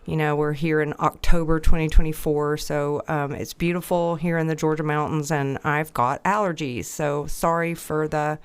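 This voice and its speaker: A woman's voice: sits low, steady tone, normal speed, few pauses, clear, resonant tone, no room sound. A woman hosting a podcast interview, slightly stuffy, speaking in an empathetic, probing way. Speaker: podcast host.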